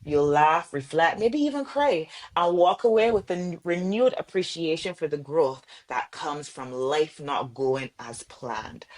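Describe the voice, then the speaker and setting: A woman hosting a podcast, reading an emphatic scripted intro and then chatting warmly with affirming interjections. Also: deep voice, lively intonation, unhurried, hardly any pauses. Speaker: podcast host.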